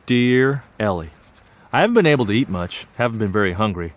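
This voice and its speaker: An actor narrating as an earnest young student, telling his story in an earnest, wry and lively way. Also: lowish voice, a little fast, natural voice. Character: earnest young Japanese American male student. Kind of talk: one-man stage play narration.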